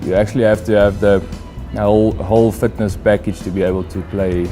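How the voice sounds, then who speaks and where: A man's voice: fairly low pitch, fairly even intonation, a little fast, warm tone. A man, a rugby player, giving an earnest testimonial in a promotional video. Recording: promo video over music.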